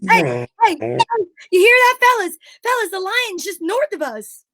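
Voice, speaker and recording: A woman's voice: extremely high pitch, expressive, normal speed, a bit bright, pitched above the performer's own voice. A woman playing a bossy, cajoling male straight man in an old-time radio comedy sketch. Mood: bossy, goading. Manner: commanding, cajoling patter. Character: bossy, wheedling straight man Abbott, adult male comic.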